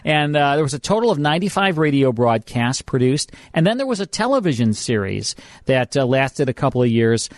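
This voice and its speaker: A man's voice: mid-range voice, sing-song, fairly quick, no room sound. A man with an American accent hosting a radio show in a conversational, explanatory way. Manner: explanatory, trivia-sharing. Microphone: close studio microphone.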